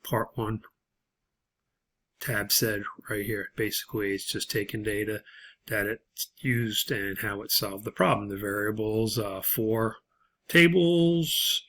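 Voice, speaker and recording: A man narrating an unscripted, step-by-step spreadsheet tutorial as an instructor, in a halting way. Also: fairly low pitch, sharp tone, a little hiss or hum.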